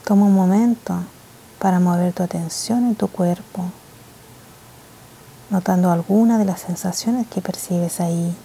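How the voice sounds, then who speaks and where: A woman guiding a mindfulness meditation in a soft, slow, gentle way, with pauses between short phrases. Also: lowish voice, fairly even intonation, creaky, slight background noise, poor audio. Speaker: meditation guide. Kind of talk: guided mindfulness meditation, scripted and instructional.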